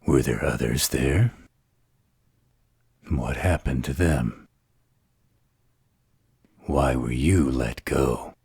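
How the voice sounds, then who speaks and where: A man playing a sardonic, flirtatious vampire interrogator in an audio drama, with a slow, sarcastic delivery. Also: darkish tone, no room sound, clean studio sound, full character voice. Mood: sardonic, probing. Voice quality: very deep, gravelly, husky. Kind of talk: dramatic audio roleplay acting.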